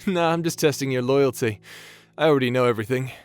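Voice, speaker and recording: A man playing a composed, fair-minded space pirate captain in an audio drama, measured and probing. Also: medium pitch, clear, resonant tone, soft background music, natural voice. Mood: calm, probing.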